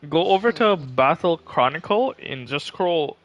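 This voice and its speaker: A man's voice: fairly high pitch, a bit bright, poor audio. A man chatting casually during a game livestream, guiding a friend as a fellow gamer.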